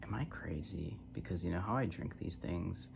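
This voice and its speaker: ASMR creator, soft low-pitched voice, unhurried casual rambling, near-whispers, clearly noisy recording with hum and tapping. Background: hum, tapping and scratching sounds.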